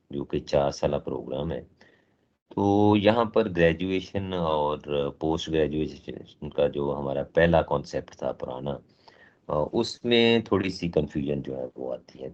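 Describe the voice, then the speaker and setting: A man giving a training lecture with a sore throat and a cough, explaining in a measured way with many pauses and English phrases mixed in. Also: rumbling low, a little mellow, muffled recording. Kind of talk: slow recorded lecture.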